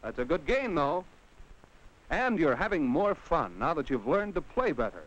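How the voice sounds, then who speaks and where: A man's voice: on the high side, big pitch swings, normal speed, fairly bright tone. An American man giving scripted narration in the manner of a 1950s educational film, talking straight to a child with rhetorical questions. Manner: addresses the child on screen directly with rhetorical questions. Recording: dull vintage 1950 film soundtrack.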